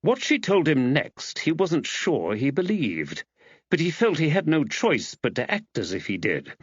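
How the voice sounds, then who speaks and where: A man's voice: on the high side, wildly swooping pitch, measured pace, slightly rough, no room sound, muffled recording. A man reading a mystery novel aloud as scripted audiobook narration.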